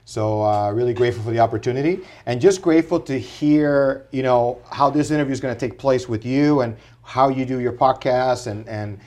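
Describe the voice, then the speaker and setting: A middle-aged man from Puerto Rico, a guest on a podcast, telling his life story in an earnest, animated way. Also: medium pitch, brisk, few pauses. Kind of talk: casual interview storytelling.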